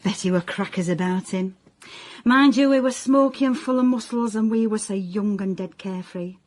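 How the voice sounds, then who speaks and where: A woman's voice: medium pitch, moderate pace, darkish tone, lightly acted. An actress playing a nagging older mother in a radio comedy drama, dry, sceptical and wistful, with a South Yorkshire accent. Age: middle-aged or older.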